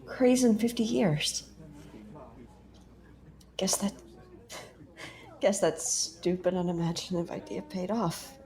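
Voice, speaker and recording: Woman acting wary space investigator, medium pitch, skeptical, sharp-tongued, audio drama. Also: slow, pauses often. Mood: puzzled.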